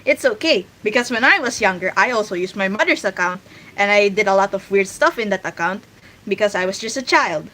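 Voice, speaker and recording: A woman's voice: mid-range voice, lively intonation, a little fast, fairly bright tone, poor audio. A woman from the Philippines with a Filipino accent, chatting casually on a livestream while she draws, rambling with frequent restarts. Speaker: streamer.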